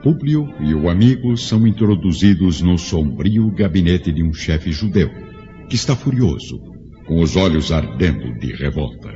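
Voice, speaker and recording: A man with a deep voice narrates a radio play solemnly. His delivery is literary and dramatic, with sweeping intonation. Clear music plays behind his slightly dull recording. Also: animated, normal speed, mellow. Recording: muffled sound under music.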